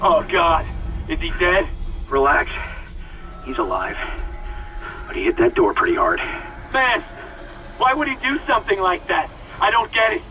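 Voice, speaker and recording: An actor playing a shaken, anxious chief engineer on a besieged spaceship, in a dramatic video game audio log. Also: male voice, on the high side, wildly swooping pitch, unhurried, pauses often, noisy background. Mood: shaken, bewildered.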